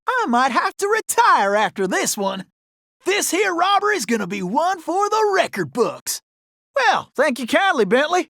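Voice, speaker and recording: An actor voicing a cocky, folksy raccoon gunslinger with a Southern cowboy drawl for an animated video game. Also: male voice, very high voice, vocal fry, no room sound, clean studio sound, exaggerated voice. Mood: easygoing. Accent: American Southern cowboy drawl. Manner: folksy, excitable, exclamatory cowboy slang. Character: cocky, folksy cartoon Old West raccoon gunslinger, male.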